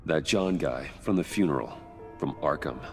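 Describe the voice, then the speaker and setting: An actor playing a brooding, serious vigilante in a video game, measured and grimly determined. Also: male voice, a bass voice, darkish tone, faint music behind. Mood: grimly focused. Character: brooding male billionaire vigilante, adult, grimly determined and analytical.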